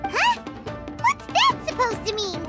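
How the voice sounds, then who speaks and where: A woman voicing a tiny, eager, childlike companion creature in a video game, squeaky and excitable. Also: a little slow, music in the background, exaggerated voice. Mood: eager, puzzled.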